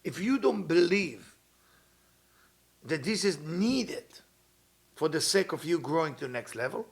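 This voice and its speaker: A man, his voice sitting a little higher than most men's. He teaches with earnest conviction, circling back over his phrases in loose grammar. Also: sing-song, measured pace. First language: Hebrew. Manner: earnest, repeats phrases, non-native grammar. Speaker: kabbalah teacher. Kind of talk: weekly spiritual talk.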